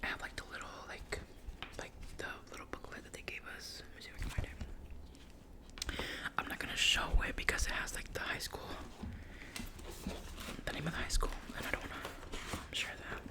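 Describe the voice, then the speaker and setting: A woman's voice: brisk, long pauses. A young woman whispering a rambling personal story, full of "like" and self-corrections, in the style of an ASMR storytime. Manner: soft whisper throughout. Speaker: vlogger.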